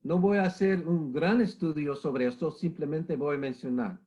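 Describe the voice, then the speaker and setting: A man's voice: on the high side, steady tone, moderate pace, darkish tone. A middle-aged man with the flu giving an emphatic church history lecture, repeating key points and calling listeners brother. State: has the flu, coughing. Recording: muffled lo-fi recording.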